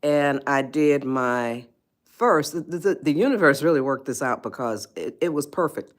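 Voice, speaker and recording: A woman's voice: deep voice, lively intonation, measured pace. A woman of eighty-one, hosting a casual live stream, chatty and rambling, often laughing and greeting viewers. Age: 80s. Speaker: livestream host.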